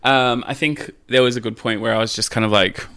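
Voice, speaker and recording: An Australian man chatting casually and candidly as a podcast guest, telling stories in a cheeky, animated way and acting out bits of dialogue. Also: medium pitch, a little fast, clean tone, dry recording, studio quality. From Australia, rural Queensland. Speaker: podcast guest, drag queen. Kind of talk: casual candid anecdotal chat.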